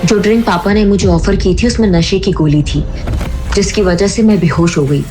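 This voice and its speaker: A woman's voice: medium pitch, normal speed, over music, close to an everyday voice. A woman playing a defiant young mother who tells and acts her own story in an emotional audio drama style. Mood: grave narration. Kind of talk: first-person audio drama narration and acting.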